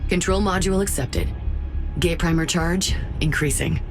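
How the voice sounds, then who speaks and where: Woman acting a low-toned, precise mothership navigator. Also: close to an everyday voice. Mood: focused, formal. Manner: measured, formal, precise. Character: focused female mothership navigator, precise, composed, serious. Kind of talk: scripted sci-fi game dialogue. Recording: loud music over the voice.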